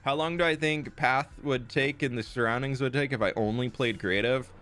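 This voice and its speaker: A man's voice: medium pitch, normal speed, fairly bright tone. A man casually chatting with his chat while building in a game, sounding a bit stuffed up. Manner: laid-back, reads chat and answers between building. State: stuffed-up nose. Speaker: Minecraft streamer.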